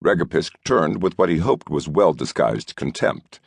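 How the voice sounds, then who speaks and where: A man narrating an audiobook novel in a scripted storytelling style, with a gravelly voice. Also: low-pitched, big pitch swings, moderate pace, no room sound.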